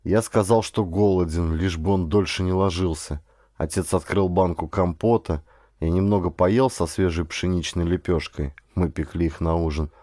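A man's voice: deep voice, steady tone, moderate pace, warm tone, no room sound, clean studio sound. A man reading a first-person adventure story as a scripted audiobook narration.

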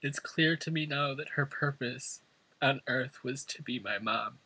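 A man's voice: medium pitch, measured pace, pushed and bright, slightly rough, no echo, rough recording. A man from New York State reading a prepared eulogy at a funeral, earnest and emotional. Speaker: son giving a eulogy. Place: funeral service before family and friends.

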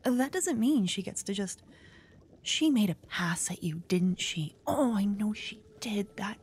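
A woman voicing a jealous, doting young witch girlfriend in an intimate ASMR roleplay, breathy and often whispering, with dramatic delivery. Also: medium pitch, animated, a little slow, darkish tone. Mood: venting, jealous. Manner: dramatic, swinging from cooing to venting. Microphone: close ASMR microphone.

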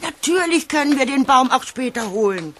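A motherly aunt in a children's audio drama. She is indulgent, with a fairly high, swooping voice. Faint noise sits behind her. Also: animated, normal speed.

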